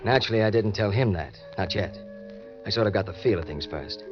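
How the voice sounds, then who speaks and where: Man acting a low-voiced, scheming young crook, old radio. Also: fairly quick, lightly acted. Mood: confiding, smug. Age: young adult. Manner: colloquial, confiding tough-guy storytelling. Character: greedy, scheming young male impostor heir, hard-boiled. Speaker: narrator and lead actor. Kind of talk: old-time radio crime drama acting, first-person narration. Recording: muffled vintage radio recording with music.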